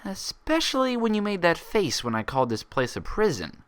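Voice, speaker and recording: A man voicing an earnest, inquisitive female unicorn with a precise, measured, questioning manner, in a story reading. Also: high-pitched, huge pitch swings, a bit bright, clean tone, voice pushed up, heavily acted. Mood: pressing, suspicious. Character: inquisitive, persistent young unicorn mare, sharp and earnest.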